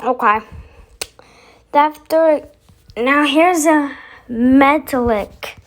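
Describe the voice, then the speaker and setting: A woman's voice: sits high, very slow. A woman hosting casually, musing aloud as she announces each step of a voice effects demonstration. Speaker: video host.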